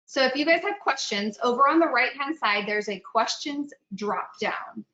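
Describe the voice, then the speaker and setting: Chatty bright woman webinar host. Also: on the high side. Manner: casual, chatty. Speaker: host, executive director. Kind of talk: webinar introduction. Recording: echoey, dull online call audio.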